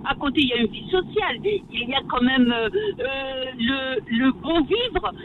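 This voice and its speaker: A woman calling a radio phone-in, arguing her opinion in halting phrases over the phone. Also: high voice, lively intonation. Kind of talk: live opinion debate. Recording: distant, thin phone line, faint noise.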